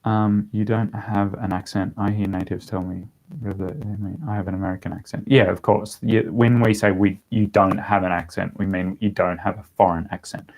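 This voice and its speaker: A man's voice: lowish voice, level tone, normal speed, a little mellow, low-quality recording. A man with an Australian accent chatting casually as he answers viewers' questions on a livestream. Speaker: language-learning vlogger answering viewer questions.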